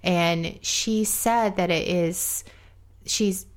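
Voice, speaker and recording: A middle-aged woman talking on a podcast, reflective and rambling, speeding up and slowing down. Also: lowish voice. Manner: reflective, rambling, pace shifting. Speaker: podcast guest and co-host. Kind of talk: casual podcast chat.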